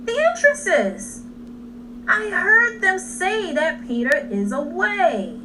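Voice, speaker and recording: An excitable storybook pirate henchman, played by a woman reading aloud, eager to serve his captain. The voice sits back from the mic, with clear noise around it. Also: extremely high pitch, slow, pitched above the performer's own voice, heavily acted. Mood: excited. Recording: distant mic, clearly noisy.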